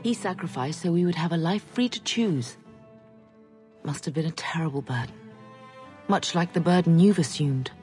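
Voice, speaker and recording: An actress playing a gentle, reflective middle-aged mother in a naturalistic video game scene. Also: fairly low pitch, normal speed, long pauses, faint music behind, lightly acted. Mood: consoling.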